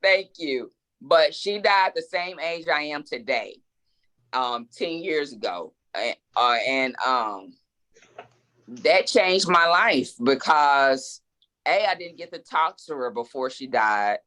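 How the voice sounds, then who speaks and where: A woman's voice: deep voice, lively intonation, slow pace, fairly bright tone. A woman in her forties, a guest author in a casual interview, speaking reflectively and with emphasis, often repeating words as she thinks. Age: 40s.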